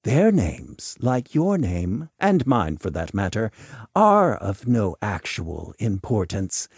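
A man's voice: on the low side, wildly swooping pitch, slow pace, a little mellow, slightly rough, no room sound, clean studio sound, full character voice. Man acting theatrical eccentric doctor, swooping voice. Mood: dismissive. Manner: wordy, theatrical, formal. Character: eccentric, coolly mocking male doctor lurking in shadows. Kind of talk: audiobook character dialogue.

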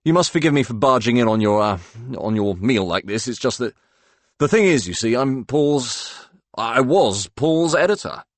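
A man's voice: mid-range voice, lively intonation, moderate pace, no echo, pitched above the performer's own voice, close to an everyday voice. A male reader puts on a middle-aged Englishman from a storybook, a kindly editor. He is flustered and polite, hesitating and trailing off in an English accent, in a close, dry recording. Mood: flustered apology. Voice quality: tobacco-rich. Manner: hesitant, bumbling, courteous, trailing off.

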